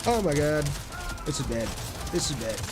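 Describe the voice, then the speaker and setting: A man's voice: on the high side, big pitch swings, moderate pace, heavy background noise. A man doing casual live gameplay commentary and chatting with viewers in a laid-back, slangy way. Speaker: game streamer.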